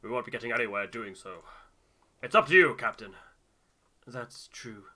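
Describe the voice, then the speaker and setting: A man puts on a stubborn, hearty ship's navigator from a survival game. The delivery is emphatic and blustering, with a very bright, forceful edge. He sounds like a proud man of middle age. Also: medium pitch, lively intonation, normal speed.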